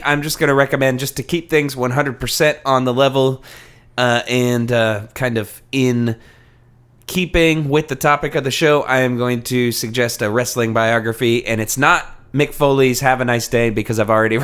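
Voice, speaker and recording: A man's voice: medium pitch, steady tone, normal speed, fairly bright tone, clear, resonant tone. A man hosting a podcast, chatting casually in an informal, enthusiastic way with some swearing. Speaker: podcast host.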